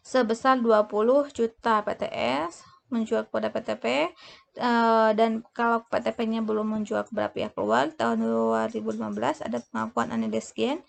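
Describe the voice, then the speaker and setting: A woman explaining worked examples as a lecturer, in a halting way, often breaking off to correct herself. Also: fairly high pitch, vocal fry. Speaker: accounting lecturer.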